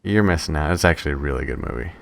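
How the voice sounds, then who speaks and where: Low-voiced man chatting casually. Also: very fast, vocal fry, a bit bright, no echo, studio quality. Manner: conversational storytelling with swearing. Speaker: podcast co-host. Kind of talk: casual movie banter.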